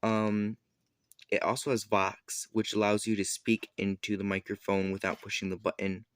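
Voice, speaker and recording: A man with a fairly low voice talks through a product review in an informal, unscripted way. He explains step by step, a little haltingly, often backing up to correct his wording. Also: monotone, clear, resonant tone, no room sound, clean studio sound. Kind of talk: casual unscripted product demonstration.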